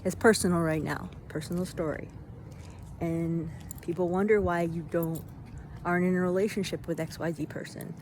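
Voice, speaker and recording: A woman giving an unscripted motivational monologue in a reflective way, in halting phrases with deliberate pauses. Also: fairly low pitch, steady tone, a little mellow. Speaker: vlogger giving self-help reflections. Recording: noisy, vehicle-like ambient sound.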